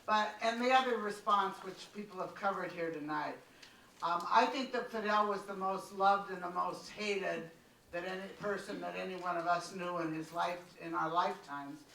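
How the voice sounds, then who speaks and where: Man, high bright voice, earnest floor comment, echoey room, far from mic. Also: gravelly, a little hiss or hum. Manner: earnest, halting with restarts. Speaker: audience member. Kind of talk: public comment at a panel. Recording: roomy hall, mic held at a distance.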